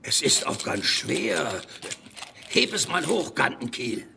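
An actor playing an opinionated, excitable village blacksmith in an emphatic children's audio drama style. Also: male voice, a little high, slow pace, vocal fry, background noise, exaggerated voice. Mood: cheerful. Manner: emphatic, animated.

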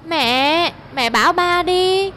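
A woman's voice: extremely high pitch, lively intonation, slow pace, noisy background, heavily acted. A woman voicing a spoiled, sulky young city boy in a cartoon, whiny and disdainful. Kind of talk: cartoon voice acting.

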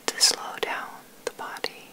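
A woman guiding an ASMR sleep meditation in a breathy whisper, soothing and gentle, unhurried with long pauses. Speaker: meditation guide, ASMR host.

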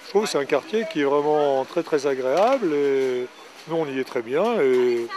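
Middle-aged guy at a street market, kinda high voice, crowd buzzing behind, bit hesitant. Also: animated, normal speed. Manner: plain, hesitant, lists what is missing. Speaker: market-goer interviewed in the street. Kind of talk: street interview answers. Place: outdoor market under stall awnings. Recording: busy outdoor market crowd. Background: market crowd chatter.

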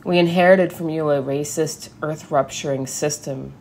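A woman giving a scripted sermon reading, measured and deliberate, with emphatic pauses. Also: deep voice, fairly even intonation, a little mellow, a little hiss or hum. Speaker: service speaker.

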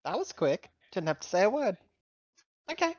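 A man's voice: high voice, very animated, normal speed, no echo, muffled recording. A man chatting casually as a player in a tabletop roleplaying game.

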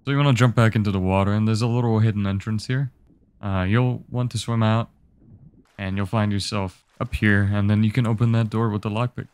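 Low-voiced man narrating a game walkthrough. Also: a little fast, clean tone. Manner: relaxed instructive, easygoing. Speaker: game guide host.

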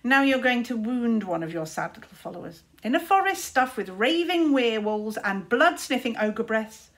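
A woman's voice: on the high side, big pitch swings, moderate pace, fairly bright tone. A woman voicing a scornful older-brother boy wizard in a storybook, with sneering, drawling emphasis. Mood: contemptuous.

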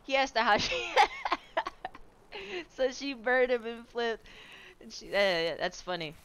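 A woman in her twenties with a low voice, streaming a game from home on a headset, chatting loosely with viewers, swearing and laughing. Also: sing-song, a little slow, a bit bright, clean tone. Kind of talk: casual chat while gaming.

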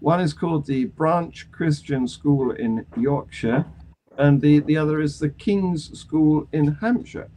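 Older British man, mellow and measured. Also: fairly high pitch, slight background noise, low-quality recording. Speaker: panel discussion host. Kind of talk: conversational news discussion. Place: small attic room at home. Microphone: video call.

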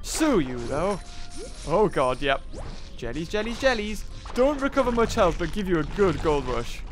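Young guy yelling through a game, pitch all over the place. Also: moderate pace, over music. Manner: excitable, shouts and laughs at play. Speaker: gaming youtuber. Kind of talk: excited gameplay commentary.

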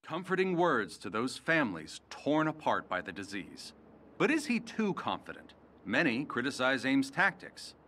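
An actor playing a grave, dramatic TV news reporter delivering a scripted report in a video game. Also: male voice, medium pitch, sing-song, normal speed, bright, forceful tone, a little hiss or hum.

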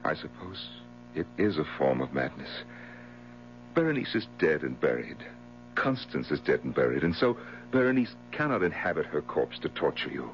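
Concerned, reasonable friend for 1970s radio mystery: low male voice, animated inflection, formal and earnest. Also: normal speed, heavily acted. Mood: reasoning. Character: steady, concerned gentleman friend, reasoning and firm. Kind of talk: 1970s radio drama acting. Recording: muffled, noisy 1970s radio broadcast with hum.

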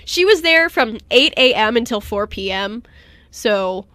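A woman co-host talks in a casual, conversational way. Her voice is bright and a little high. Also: lively intonation, a little slow, no echo, clean studio sound. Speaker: radio show co-host and narrator. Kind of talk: casual chat.

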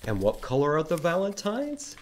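A man in his fifties reading to young children as an animated library storytime host. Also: on the high side, normal speed. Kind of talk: children's storytime read-aloud. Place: indoors in a library room.

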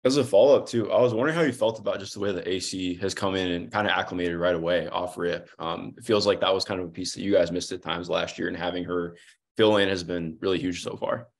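Male sports reporter, twenties, deep and brisk, casual questioning on a video call. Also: fairly even intonation, vocal fry. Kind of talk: casual press conference questioning. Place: room at home, indoors.

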